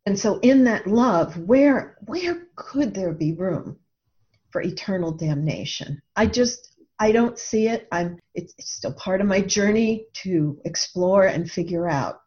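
A woman with a mid-pitched voice talks reflectively, as a guest explaining her own ideas. Her delivery is earnest and deliberate, leaning on repeated words for emphasis. The audio is a little dull and lo-fi. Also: expressive, darkish tone. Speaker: author and podcast guest.

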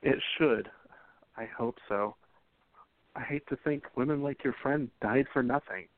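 A man playing a gentle, supportive 1920s sweetheart in a radio play, tender and earnest. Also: medium pitch, measured pace, no room sound, close to an everyday voice. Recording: tinny phone-like sound.

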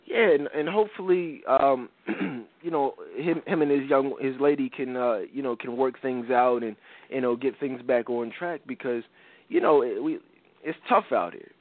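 A man's voice: mid-range voice, normal speed, dry recording. Congested man hosting a phone-quality talk show. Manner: rambling, many restarts and "you know"s. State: congested from a cold. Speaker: podcast host. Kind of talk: casual talk show chat. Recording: tinny phone-like dry sound.

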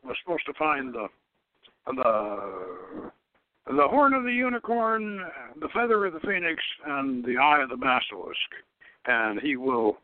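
A man hosting an informal radio talk, speaking in a halting, rambling way and often repeating his words as he explains. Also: medium pitch, very animated, no room sound. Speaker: show host and author. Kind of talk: dramatic reading of own novel. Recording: narrow phone-like radio line.

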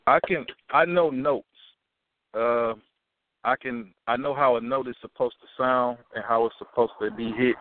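A young man from Milwaukee, guest on an interview, talking in a relaxed, halting way with many restarts and "you know"s. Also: medium pitch, dry recording. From Milwaukee, USA. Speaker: rapper and actor guest. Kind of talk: casual interview talk. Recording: tinny phone-like sound, dry.

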